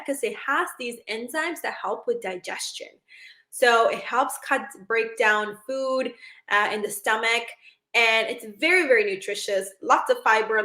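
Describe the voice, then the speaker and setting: A woman's voice: on the high side, fairly bright tone, reverberant. A woman from Sri Lanka, a doctor, giving a casual talk on healthy foods, chatty, with plenty of fillers and repeated words.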